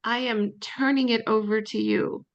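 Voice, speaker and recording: A woman's voice: on the high side, steady tone, moderate pace, vocal fry, highs cut off. A woman speaking informally as the host of an online meeting over a video call. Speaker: webinar host.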